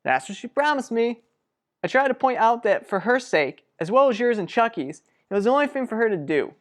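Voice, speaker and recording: An actress playing an earnest, protective sister in a radio soap drama, speaking deliberately and persuasively. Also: female voice, fairly high pitch, very animated. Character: earnest, protective sister pleading for a troubled couple. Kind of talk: revived vintage radio soap drama acting.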